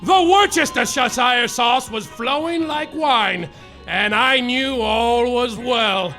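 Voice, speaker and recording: A man's voice: very high-pitched, slow pace, gravelly, bright, forceful tone, soft background music, exaggerated voice, poor audio. A man playing a self-absorbed hardboiled male private detective, narrating in a rambling, boastful, melodramatic way on a live comedy stage. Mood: dreamy. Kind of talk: live comedy radio-play acting.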